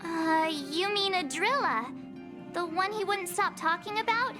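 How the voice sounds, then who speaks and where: A warm-hearted teenage girl from a video game, with a very high, girlish voice. She is chatty and expressive but takes her time, with music playing clearly behind her. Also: a little slow. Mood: reflective. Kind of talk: video game character dialogue.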